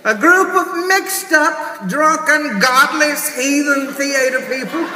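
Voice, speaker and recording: A man's voice: very high voice, bright, forceful tone, full character voice. A man playing a prim, scandalized church lady usheress, delivering a pompous mock-sermon comedy monologue as an awards host. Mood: scolding. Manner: pompous, slow and emphatic, punctuated with amens. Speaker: comic host of a theater awards show. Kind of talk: theatrical stand-up monologue in mock-sermon form. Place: large theater stage before an audience. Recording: echoey theater hall, distant noisy recording. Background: audience noise.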